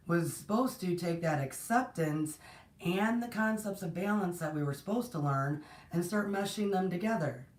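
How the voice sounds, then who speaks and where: A woman hosting a spiritual livestream, talking casually with a strained, partly lost voice, greeting viewers between rambling thoughts. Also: mid-range voice. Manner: rambling, warm greetings to viewers, many restarts. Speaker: host of a spiritual platform. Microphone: distant mic, no proper setup. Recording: echoey room, voice from far off.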